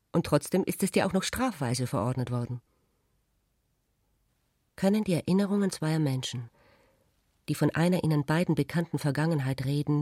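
A man's voice: on the high side, a little mellow, dry recording, studio quality. A man reading literary prose as a radio drama narrator.

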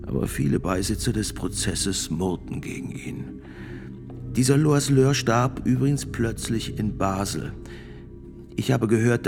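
An actor playing a grave priest and notary of sixty-six, testifying in a radio drama with gravelly, deliberate, formal speech. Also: male voice, on the low side. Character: sixty-six-year-old male priest and court notary, grave witness.

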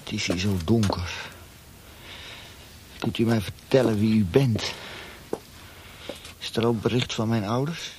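An actor plays a frightened young man held prisoner in a radio play. His trembling voice is slow and pleading, with clear noise behind it. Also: on the low side, natural voice. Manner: pleading, halting. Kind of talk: radio drama acting.

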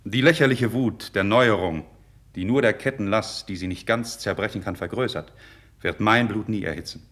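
A man's voice: lowish voice, normal speed, fairly bright tone. An actor playing an idealistic, proud young nobleman in a classical radio drama, with earnest, declamatory, formal diction. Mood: earnest, restrained. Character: idealistic young nobleman, passionate, proud, candid before his king. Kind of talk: 1950s German radio drama, classical verse acting.

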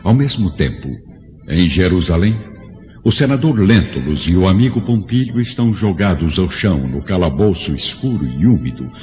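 Male Brazilian narrator, very low, solemn radio-drama storytelling, heavy reverberant tail, muffled sound. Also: dark tone. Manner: solemn, measured. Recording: long reverb, dull lo-fi, faint music.

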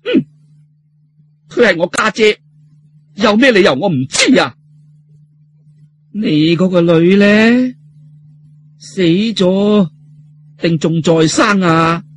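A man's voice: high-pitched, animated, takes it slowly, long pauses, full character voice. A man voicing a vengeful masked woman in Cantonese, mocking and relentlessly accusing in an audiobook drama. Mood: cold, demanding. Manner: mocking, interrogating.

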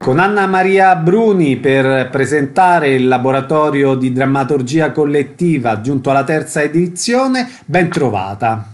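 A man's voice: fairly high pitch, lively intonation, measured pace, few pauses, faint music behind. A man hosting a radio show, presenting guests and programme details. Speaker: radio host.

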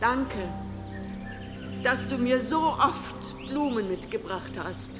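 A woman's voice: sits high, lively intonation, slow, music in the background. An actress playing a frail, elderly, eccentric mother in a German radio play, whimsical, imperious and theatrical. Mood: tender farewell.

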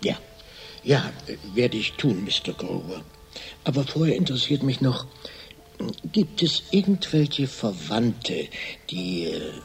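An actor playing a shrewd, laconic private detective in a radio crime drama, with a dry, probing manner and a husky, gravelly voice. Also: male voice, mid-range voice, big pitch swings, slow, faint music behind, exaggerated voice. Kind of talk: German radio crime drama, first-person narration and questioning.

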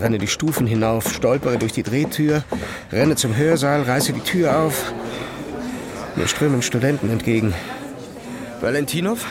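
An actor playing an obsessed, brooding physicist who narrates his own life as an introspective, measured inner monologue in a radio drama. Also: mid-range voice, noisy background, lightly acted. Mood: hurried. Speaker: narrator and lead character. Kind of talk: literary first-person radio drama narration. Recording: music behind the voice. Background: music.

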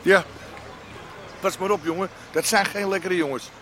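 An actor playing a wry, knowing, streetwise crony of a crime boss in a radio drama. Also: male voice, high voice, steady tone, moderate pace, noisy background, lightly acted.

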